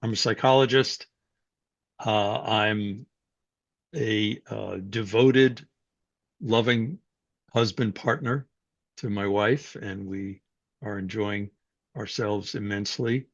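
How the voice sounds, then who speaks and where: An older man from New York City, speaking as a guest and telling his life story in a reflective, unhurried way with frequent fillers. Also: fairly low pitch, fairly even intonation, no room sound. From New York City, USA. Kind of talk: personal life story, conversational talk. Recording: dull, dry sound.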